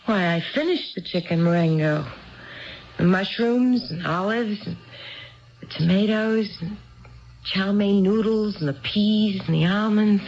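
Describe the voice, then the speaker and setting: An actress playing a nervous, hurt, neglected housewife in a 1970s radio drama, talking in a chatty, halting way that seeks approval. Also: female voice, medium pitch, natural voice. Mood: wounded pride. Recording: muffled vintage radio broadcast recording.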